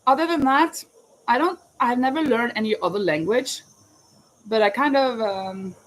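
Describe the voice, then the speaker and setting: A woman's voice: fairly high pitch, normal speed, slight background noise, poor audio. A woman with a Norwegian accent chatting casually and rambling a little while crocheting live, answering viewers' questions. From Norway. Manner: chatty, rambling, self-correcting. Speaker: crochet vlogger and teacher. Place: home in Spain, crickets outside.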